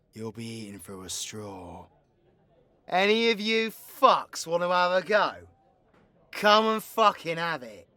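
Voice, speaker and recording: A man's voice: sits high, sharp tone, clean tone, no echo. A British man playing a foul-mouthed, hot-tempered supervillain antihero in an audio drama, with a brash, sarcastic, slangy delivery. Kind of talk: audio roleplay voice acting.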